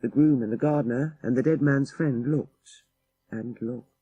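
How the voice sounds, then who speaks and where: A man's voice: medium pitch, moderate pace, dark tone, slightly rough, no echo, highs cut off. A man narrating a literary ghost story for an audiobook in a dramatic style.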